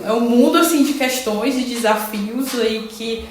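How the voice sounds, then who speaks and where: A woman's voice: fairly high pitch, unhurried. Hesitant Brazilian woman in echoey room. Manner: hesitant, many restarts and tag questions. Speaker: Portuguese heritage-language teacher in Germany. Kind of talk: casual podcast conversation. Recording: very echoey room, faint noise.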